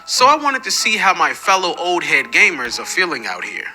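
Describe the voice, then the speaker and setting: Man, late thirties, very bright tone, conversational, addresses viewers, faint music behind. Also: high-pitched, huge pitch swings, normal speed. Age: 30s. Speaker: gaming video creator.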